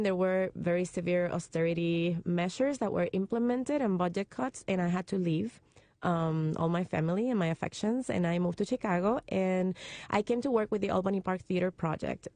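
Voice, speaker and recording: A woman from Rico speaks in a slightly low voice. She talks earnestly and steadily, with frequent fillers, as a guest in a radio studio. The sound is close and dry. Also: darkish tone, no echo. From Puerto Rico. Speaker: political candidate guest. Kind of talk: radio interview.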